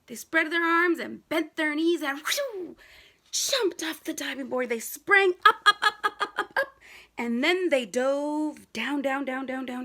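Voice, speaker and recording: A woman reads a storybook aloud to young children in a high-pitched, bright voice. She is animated and interactive, putting questions to her listeners and repeating words for drama. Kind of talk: animated, interactive picture-book read-aloud.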